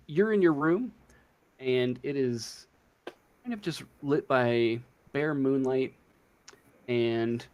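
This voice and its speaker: Man, tabletop game master, medium pitch, slow pace, improvised storytelling, halting, thinking out loud.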